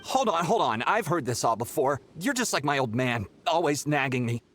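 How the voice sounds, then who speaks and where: An excitable young male pilgrim from an anime game, with a high voice and lively, theatrical swings. He sounds impatient and petulant, over slight background noise. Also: moderate pace. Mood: scornful. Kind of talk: anime-style video game acting.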